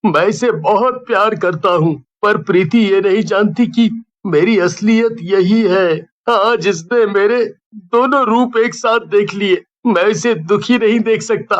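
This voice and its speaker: A man voicing a gentle, lovestruck young ghost in a horror cartoon, speaking earnestly and wistfully. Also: high-pitched, measured pace, lo-fi sound. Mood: regretful, pleading. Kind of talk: Hindi horror cartoon dubbing.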